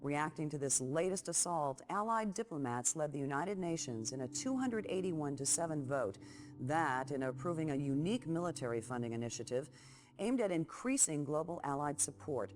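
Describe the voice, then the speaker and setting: An actor playing a wartime news anchor who reads a bulletin in a formal, even way. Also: mid-range voice, normal speed, close to an everyday voice. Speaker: newscaster. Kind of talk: scripted news bulletin in a game.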